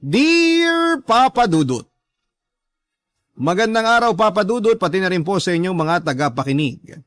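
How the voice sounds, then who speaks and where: A man hosting a radio love story show, reading a scripted letter dramatically in measured, pause-filled storytelling phrases. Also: high-pitched, big pitch swings, fairly bright tone, no room sound.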